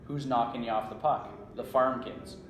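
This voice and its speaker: A man's voice: medium pitch, steady tone, moderate pace, over music. Canadian man explaining in an echoey room. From small-town Saskatchewan, Canada. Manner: checks in often with "right?". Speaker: strength coach, interview guest. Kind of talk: conversational explanation and storytelling. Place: indoor room at a conference. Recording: roomy echo, faint background ambience.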